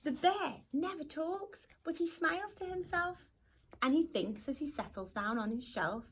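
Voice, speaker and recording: A woman teacher reading a rhyming picture book aloud to young children in an expressive storytelling manner. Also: high voice, normal speed. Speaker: primary school teacher.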